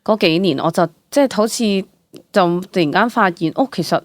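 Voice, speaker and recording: A woman's voice: lowish voice, brisk. A young woman with a Hong Kong Cantonese accent, an interview guest chatting candidly and expressively, dropping in English words. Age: young adult. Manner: animated storytelling, exclamations, mixes in English words. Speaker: interview guest, stage actor. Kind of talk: casual candid chat.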